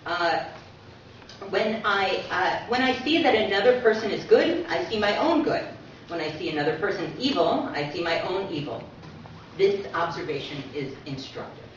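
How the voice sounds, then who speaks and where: A woman's voice: medium pitch, lively intonation, normal speed. Echoey, distant woman giving an informal talk. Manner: conversational, addresses the audience with small asides. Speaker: guest speaker presenting a book. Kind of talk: informal public lecture with read-out aphorisms. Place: echoing talk room at a community centre. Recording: echoey hall from far off, muffled, noisy.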